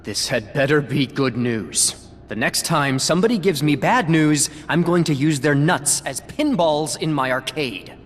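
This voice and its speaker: An actor playing a short-tempered villain boss in a video game, deliberate, threatening and bitingly sarcastic. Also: male voice, fairly high pitch, expressive, long reverb tail, slight background noise. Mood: menacing, sarcastic. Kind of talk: video game cutscene acting.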